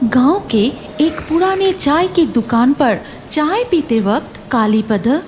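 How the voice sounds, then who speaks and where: Slow, high-voiced woman storyteller. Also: lively intonation, darkish tone, a little hiss or hum. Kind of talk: scripted horror story narration. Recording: music behind the voice.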